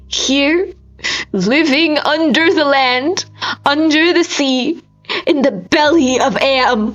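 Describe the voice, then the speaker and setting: A woman's voice: sits high, a bit bright, muffled recording, voice pushed up, heavily acted. A woman voicing a tormented, despairing lone survivor in a dramatic storybook monologue, anguished and swinging between whispers and cries. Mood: tearful despair.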